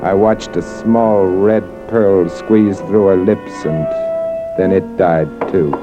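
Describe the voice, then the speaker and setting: Hardboiled cynical columnist, 40s radio noir, low voice, bitter n clipped, muffled w music under it. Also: male voice, steady tone, takes it slowly. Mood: grim. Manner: terse, bitter, noir-style delivery. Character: cold, cynical, hard-boiled male newspaper columnist. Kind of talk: 1940s radio drama acting.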